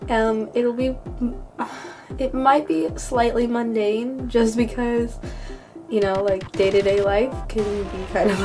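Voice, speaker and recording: A young woman vlogging into her phone camera, chatty and giggly, full of ums and likes, her voice still recovering from a cold. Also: a little high. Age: young adult. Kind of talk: casual vlog chat.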